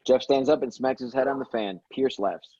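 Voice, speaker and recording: A man's voice: medium pitch, normal speed, dark tone, breathy edge, highs cut off. A man reading screenplay action lines as narration at a table read. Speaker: stage-direction reader at a table read.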